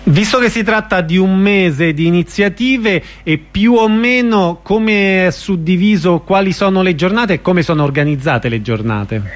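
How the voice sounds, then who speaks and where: Man, Italian accent, high pitch, radio interviewer, informal questioning. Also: expressive, moderate pace, few pauses.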